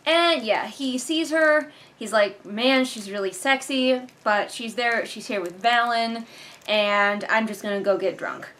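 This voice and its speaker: A woman's voice: a little high, measured pace, fairly bright tone. Exasperated woman giving casual book commentary. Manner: exasperated, sarcastic critique. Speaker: book reviewer and video host. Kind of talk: casual read-along commentary.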